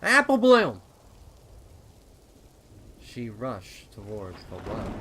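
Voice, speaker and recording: A man narrating a dark horror story in a dramatic, ominous style with deliberate phrasing. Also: on the low side, creaky, background noise. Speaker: fan fiction narrator. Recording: rain and storm ambience behind. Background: rain.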